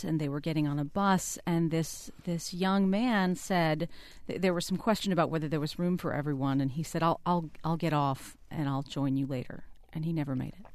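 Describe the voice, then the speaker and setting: A man hosting a public radio talk show, reading a scripted introduction and then asking measured interview questions. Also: on the high side, darkish tone, clear, resonant tone, no room sound.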